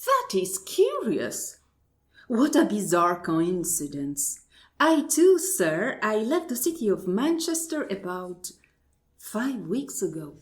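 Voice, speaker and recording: Prim, courteous lady for absurdist radio comedy, female actor. Unhurried, formal, animated melody, mock astonishment. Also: mid-range voice. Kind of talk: absurdist stage dialogue read as radio play.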